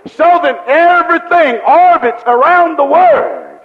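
A man's voice: extremely high pitch, slow. A man preaching a sermon with fervent rhetorical questions and repeated refrains. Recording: dull lo-fi recording with long hall reverb.

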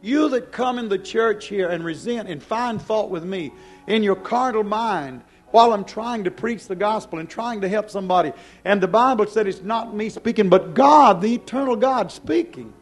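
A man preaching a fiery, stern sermon, accusing his congregation with emphatic repeated questions and swinging between hushed and loud delivery. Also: high voice, normal speed, soft background music. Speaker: preacher, church pastor. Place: church service before a congregation.

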